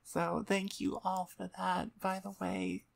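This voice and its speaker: A woman's voice: medium pitch, little pitch movement, slow pace, creaky. A woman chatting informally and self-deprecatingly as channel host, rambling casually close to her microphone. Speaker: ASMR voice performer, channel host. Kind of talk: casual rambling outro chat. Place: on the floor in front of a computer desk. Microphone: microphone about twelve inches away at eye level.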